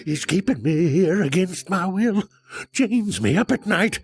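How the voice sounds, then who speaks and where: A man's voice: high voice, lively intonation, unhurried, a little mellow, husky, faint music behind, full character voice. A man playing a pleading, cunning old captive in an audio drama. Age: older.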